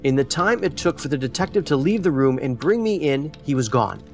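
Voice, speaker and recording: A man's voice: mid-range voice, expressive, brisk, lightly acted. A man playing a dry-witted, self-assured federal agent in an audio drama, speaking in a terse, matter-of-fact way. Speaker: federal investigator. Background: faint music.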